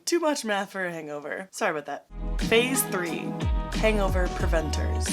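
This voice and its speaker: Raspy-voiced young woman vlogger. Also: mid-range voice. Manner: chatty, self-mocking, upbeat. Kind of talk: casual how-to vlog.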